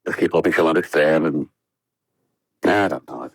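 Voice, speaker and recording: An actor playing an earnest, troubled young American test pilot, hesitant and subdued in 1950s radio drama style. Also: male voice, a bass voice, normal speed, husky, dry recording, studio quality, full character voice. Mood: subdued, worried.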